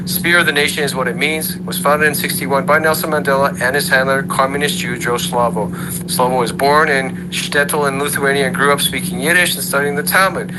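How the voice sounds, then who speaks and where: A man's voice: medium pitch, very noisy. A man hosting an online audio room, delivering a sarcastic monologue full of rhetorical questions. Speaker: host of an audio chat room. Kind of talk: ranting monologue.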